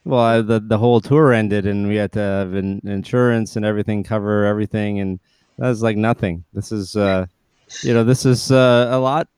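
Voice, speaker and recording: A man hosts a casual podcast interview, talking in a relaxed, rambling way and often restarting his sentences. His voice is smooth and clear, and the recording sounds close and dry. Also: on the low side, moderate pace, no echo. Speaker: podcast host.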